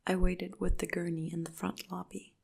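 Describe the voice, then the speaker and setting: A woman narrating a dark first-person story in a soft, hushed whisper throughout. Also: on the low side, flat delivery, normal speed, gravelly, breathy edge.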